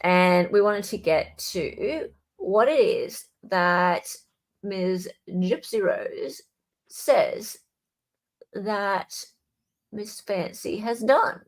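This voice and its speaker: A woman hosts a casual livestream about legal matters. She speaks informally and chattily, addressing viewers directly, with a mid-range pitch. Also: animated, drawn-out words. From Australia. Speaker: livestream host. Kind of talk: casual live legal commentary chat.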